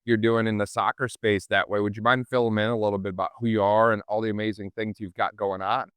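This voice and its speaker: A man's voice: fairly low pitch, level tone, fast, a bit bright, clean tone, dry recording, clean studio sound. A man hosting a podcast, interviewing in an effusive, wordy conversational way. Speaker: podcast host.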